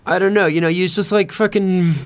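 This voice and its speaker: A man's voice: sits high, fairly even intonation, brisk. A man chatting casually on a podcast, swearing freely and rambling through his thoughts with hesitant phrasing.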